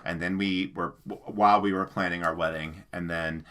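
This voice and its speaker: A man in his thirties with a low voice and a bright, forward tone. He talks in a loose, rambling way, full of fillers and "like". He sounds like a co-host in a casual book-channel chat. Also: steady tone, normal speed. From American Midwest. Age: 30s.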